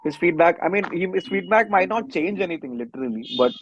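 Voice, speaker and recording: A man talking casually in an interview, halting as he thinks aloud. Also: sits high, animated, a little hiss or hum. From India. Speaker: band musician being interviewed. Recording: slightly dull, close dry sound.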